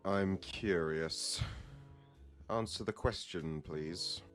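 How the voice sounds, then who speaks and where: A man's voice: low voice, very slow, fairly bright tone, faint music behind, heavily acted. A man playing a stern, dignified prosecutor in an audio drama, formal and firm in his speech. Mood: cool. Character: stern, dignified male prosecutor, dryly principled. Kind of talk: amateur audio drama acting.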